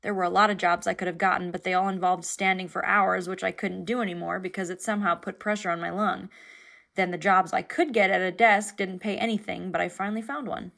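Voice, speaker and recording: A woman's voice: lowish voice, steady tone, fairly quick, vocal fry, a bit bright. A woman playing an anxious young cartoon passenger who overshares in a rambling, candid, self-deprecating, naturalistic way. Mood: candid, rueful. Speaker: passenger in an animated series. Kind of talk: naturalistic confessional conversation.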